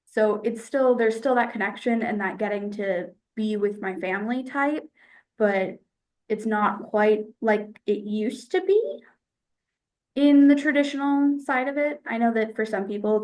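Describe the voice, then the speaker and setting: A young woman from the United States answers interview questions in a casual, thoughtful way, pausing often to find her words. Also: fairly high pitch, a little mellow. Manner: thoughtful, hesitant, with fillers.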